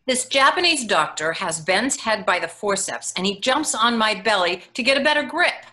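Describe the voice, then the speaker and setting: A woman playing a frazzled, sardonic mother in an expressive dramatic monologue reading over a video call. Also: mid-range voice, normal speed. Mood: urgent strain. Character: frazzled, sardonic new mother, sometimes mimicking her own mother.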